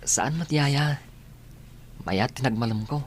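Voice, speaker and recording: A performer playing a soft-hearted, grateful young male student in a radio drama, speaking politely and earnestly. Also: medium pitch, slow, slightly rough. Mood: affectionate. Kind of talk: Filipino radio drama acting.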